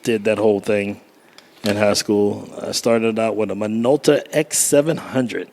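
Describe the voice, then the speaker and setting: A man's voice: on the low side, steady tone, measured pace, a little mellow. Man, middle-aged, from Miami, photographer guest, chatty, laughs a lot, casual storytelling. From Miami, United States. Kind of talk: casual interview chat.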